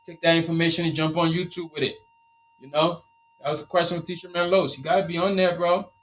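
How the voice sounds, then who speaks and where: A man from Liberia with a Liberian accent, hosting a casual live stream Q&A on his t-shirt business and repeating his points in an energetic, motivational way. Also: on the high side, fast, rough recording.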